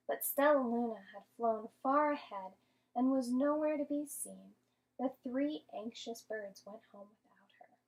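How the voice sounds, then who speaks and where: A woman teacher giving an interactive storybook read-aloud to young children, expressive and patient, often asking and explaining. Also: a little high, measured pace, poor audio.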